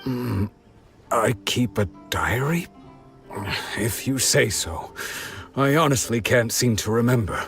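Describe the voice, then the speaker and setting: An actor playing a weary older villager who has lost his memories, speaking in a slow, hollow, hesitant way. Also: medium pitch, lively intonation, a bit bright. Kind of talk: video game dub. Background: game music.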